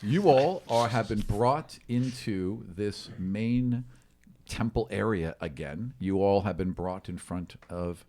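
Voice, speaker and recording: A man's voice: on the low side, takes it slowly, mellow, slight background noise. A man improvising tabletop game narration as game master, with theatrical emphasis and conversational asides.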